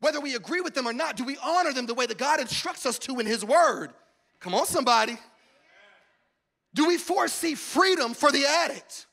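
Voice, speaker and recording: A high, bright-voiced man preaches to a congregation from a church stage, holding his mic close. He surges into shouted calls and then drops to soft, hushed confession. He is a pastor in his forties. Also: lively intonation, fairly quick, gravelly. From United States. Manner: swings from shouted preaching to hushed intimate talk. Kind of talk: sermon. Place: large church stage with audience. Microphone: handheld mic.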